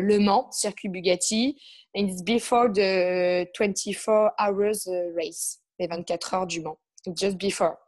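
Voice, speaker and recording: A woman with a French accent, not a native English speaker, answering interview questions in halting English with many pauses and fillers. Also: medium pitch, a little mellow. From France. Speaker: guest, motorcycle racer. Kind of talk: casual interview chat.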